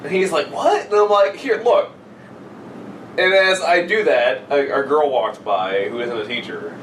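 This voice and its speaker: A man's voice: a little high, measured pace, fairly bright tone. A young man chatting casually with friends as a podcast co-host, joking in a crude, profane way. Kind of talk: casual chat among friends. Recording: roomy, distant mic, noisy background.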